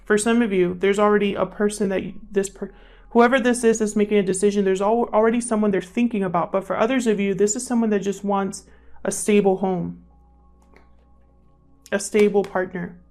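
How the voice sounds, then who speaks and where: A woman's voice: mid-range voice, fairly even intonation, fairly quick, a little mellow. A woman giving a casual, improvised tarot reading, thinking aloud as she talks to the cards and to her viewers. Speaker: tarot reader, channel host. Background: background music.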